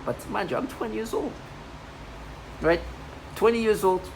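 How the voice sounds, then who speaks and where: A woman's voice: mid-range voice, moderate pace. A woman in her thirties in a rambling personal monologue, agitated and repetitive, with many restarts. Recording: noisy home recording.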